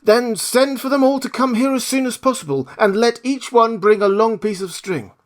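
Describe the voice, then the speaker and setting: A storybook reader voicing an eager, quick-talking scarecrow in a lifted voice. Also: medium pitch, voice pushed up, lightly acted. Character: eager talkative straw man.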